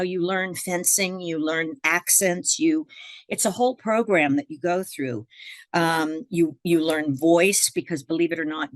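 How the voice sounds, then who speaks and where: Middle-aged woman guest from Miami, low voice, chatty with lots of fillers, dry close mic. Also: no echo. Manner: chatty, many fillers and restarts, laughs often. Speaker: guest jazz vocalist. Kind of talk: relaxed interview storytelling.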